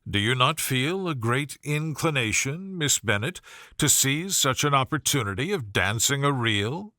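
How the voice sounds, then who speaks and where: Man playing a proud, reserved young gentleman. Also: medium pitch, animated, a little slow, dry recording, clean studio sound. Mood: courteous.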